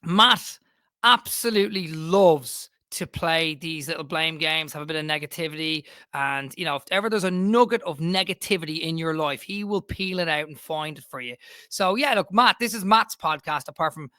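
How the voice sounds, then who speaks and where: A man co-hosting a podcast over an online call, chatting in an animated, mock-indignant and teasing way. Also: on the high side, normal speed, dry recording, clean studio sound. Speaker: podcast co-host. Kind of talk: casual chat.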